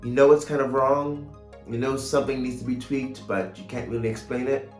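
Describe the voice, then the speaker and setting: A man in his thirties talking casually to camera in a vlog, measured, pausing between phrases. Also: medium pitch, steady tone. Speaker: writer and vlogger. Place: small home room, indoors. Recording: roomy echo, light music behind.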